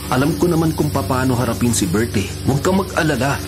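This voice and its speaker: An actor playing a big, steady male cell leader who speaks calmly and with authority in a radio drama. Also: medium pitch, fast, background noise, natural voice. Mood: firm. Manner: calm, firm, authoritative. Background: music.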